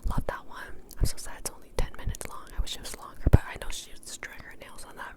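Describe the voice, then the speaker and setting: A young woman whispering in a breathy, casual, rambling ASMR style as she answers questions, softly repeating words now and then. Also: fast. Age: young adult. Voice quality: breathy, mostly unvoiced whisper.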